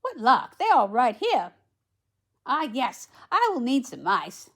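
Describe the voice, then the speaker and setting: Casting: woman playing kindly storybook fairy godmother, high-pitched, sing-song, exclamatory. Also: wildly swooping pitch, slow, a bit bright, heavily acted. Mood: kindly, bustling. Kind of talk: read-aloud storybook character voice.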